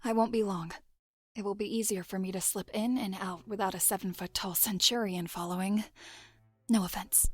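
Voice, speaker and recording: A woman's voice: mid-range voice, steady tone, moderate pace, darkish tone, breathy edge, no room sound, close to an everyday voice. A woman playing a cool, self-reliant town defender in an audio drama, speaking formally. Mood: composed, firm. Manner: formal, composed.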